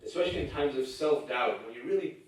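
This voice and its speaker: A man's voice: medium pitch, fast. Joking man speaking in an echoey hall. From California, United States. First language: Urdu. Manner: joking, self-deprecating storyteller. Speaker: guest speaker at a campus event. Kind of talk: humorous personal speech. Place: large lecture hall. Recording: echoey lecture hall, distant mic.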